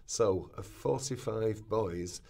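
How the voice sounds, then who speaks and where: Male reader, lower register, delivering quoted historical prose for a radio documentary drama. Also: slow, vocal fry, warm tone. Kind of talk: scripted dramatic reading of literary passages.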